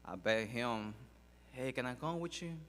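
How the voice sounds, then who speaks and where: A man who grew up in Mexico, telling his life story in a halting speech full of fillers and pauses. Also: medium pitch, animated, a bit bright, long reverb tail, slight background noise. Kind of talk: personal testimony speech. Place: large hall at a public event.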